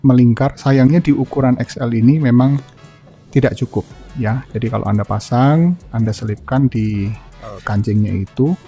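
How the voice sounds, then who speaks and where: An older man talks through a product review in a loose, chatty way, stopping often with fillers and restarts. His voice is deep and mellow, and he speaks slowly. Faint music plays behind him. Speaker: motorcycle gear reviewer.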